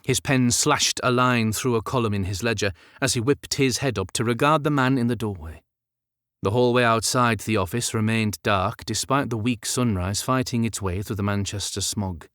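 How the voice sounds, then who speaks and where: A man's voice: mid-range voice, moderate pace, fairly bright tone, no room sound, clean studio sound. A man reading an audiobook as scripted literary narration.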